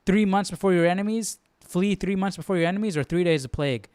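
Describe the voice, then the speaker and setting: Clear-voiced man musing on a livestream. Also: high-pitched, quick pace, darkish tone, dry recording, studio quality. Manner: musing aloud, questioning. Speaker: host of a live Bible study. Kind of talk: casual livestream commentary.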